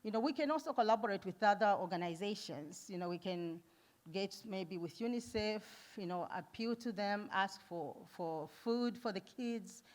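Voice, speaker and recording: A woman's voice: mid-range voice, moderate pace. Woman from Zambia, middle-aged, nurse speaker, earnest, chuckles often, handheld mic. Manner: warm, earnest, laughs often, many fillers. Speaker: nurse and foundation founder speaking at an event. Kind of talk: conference talk. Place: event hall.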